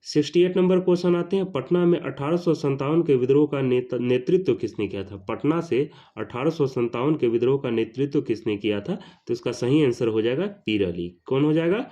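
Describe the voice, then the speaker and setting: A man teaching history for an exam. He reads each question, then repeats it and its answer, and he calls out each question number higher and louder. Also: a little fast, few pauses.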